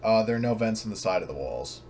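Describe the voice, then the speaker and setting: A man narrating a tabletop game as game master in a casual, halting, self-correcting way. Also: lowish voice, animated, a little mellow. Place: at home, online voice session. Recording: clearly noisy home recording.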